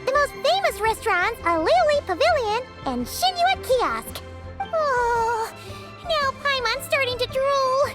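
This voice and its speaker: Squeaky childlike fairy sidekick, extremely high, hugely animated, perky, excitable, video game. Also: female voice, measured pace. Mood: eager. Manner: perky, refers to self in third person. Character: Paimon, tiny excitable food-loving childlike fairy companion. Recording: loud music bed. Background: game music.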